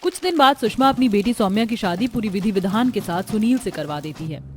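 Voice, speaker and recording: A woman's voice: mid-range voice, animated, fairly quick, darkish tone. A woman narrator reading a scripted cartoon family story. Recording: music behind the voice.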